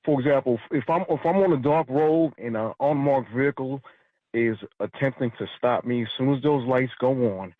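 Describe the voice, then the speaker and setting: A man from New York City calling into a radio show, speaking in a measured, matter-of-fact way. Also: mid-range voice, dry recording. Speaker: caller, retired federal officer. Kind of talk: phone-in commentary. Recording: tinny phone line.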